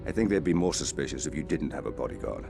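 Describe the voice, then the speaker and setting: An actor playing a cool, wry British secret agent in a spy game, clipped and understated. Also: male voice, a bass voice, animated, fast talker, vocal fry, over music, heavily acted. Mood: businesslike. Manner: understated, dry, businesslike. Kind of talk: cinematic spy game acting.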